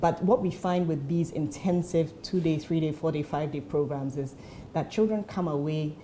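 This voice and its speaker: A woman's voice: low-pitched, moderate pace, dark tone, a little hiss or hum. A woman from Ghana, an education program founder, answering earnestly in a TV interview with frequent fillers.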